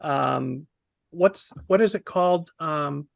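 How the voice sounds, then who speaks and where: Guy in his 50s, bit of fry in the voice, dry close sound, telling a story for a film review. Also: a little high, slow pace, no room sound. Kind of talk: casual review talk, storytelling. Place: indoors on a video call.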